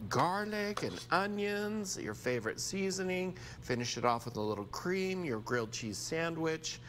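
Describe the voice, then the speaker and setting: A man's voice: medium pitch, noisy background. An American man in his sixties presenting a cooking demonstration, explaining steps conversationally as a TV chef. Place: indoor TV studio kitchen.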